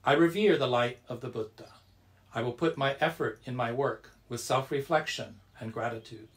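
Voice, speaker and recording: A man leading a Buddhist temple service, reciting and guiding meditation in a measured, solemn, deliberate way. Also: mid-range voice. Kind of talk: reverent recitation and guided meditation.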